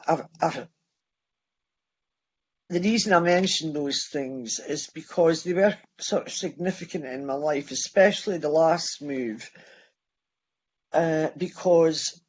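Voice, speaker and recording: A Scottish man in his sixties sharing his life story at a recovery meeting, halting and restarting as he goes. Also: on the high side, highs cut off. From Scotland. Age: 60s. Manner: halting, with many restarts and pauses. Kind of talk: personal testimony.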